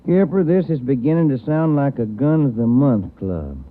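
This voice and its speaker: A man's voice: medium pitch, animated, normal speed, narrow, tinny audio, lightly acted. A man playing a folksy detective partner. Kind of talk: 1940s radio acting. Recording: old radio broadcast, band-limited.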